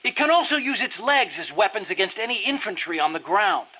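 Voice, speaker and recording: A man's voice: high-pitched, animated, a little fast, pushed and bright, slightly rough, full character voice. A man playing an earnest, nerdy American weapons engineer in a video game, eager to explain things in precise detail. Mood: instructive. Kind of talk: video game dialogue acting.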